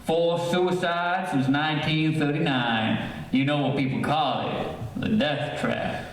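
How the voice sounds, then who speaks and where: A man's voice: a little high, steady tone, slow pace. A man acting a folksy, eager real estate salesman with a breezy, persuasive manner in an old-time radio drama. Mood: breezy persuasion. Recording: distant, cavernous echoey stage, faint noise.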